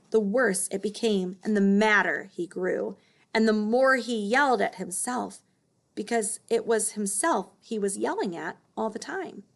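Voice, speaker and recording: A woman narrating a children's story as a read-aloud. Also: medium pitch. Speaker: storybook reader.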